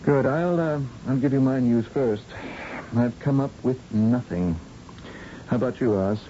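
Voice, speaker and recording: An actor playing an earnest, weary scientist of the future in an old-time radio drama, grimly frank. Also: male voice, mid-range voice, measured pace, warm tone, natural voice. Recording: dull, noisy old radio recording.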